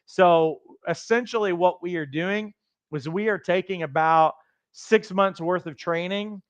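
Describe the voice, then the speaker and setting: Fast-talking raspy male coach. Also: high voice, no echo. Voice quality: raspy from days of training. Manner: fast-talking, emphatic, motivational. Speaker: life coach trainer hosting a livestream. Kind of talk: energetic sales pitch on a livestream.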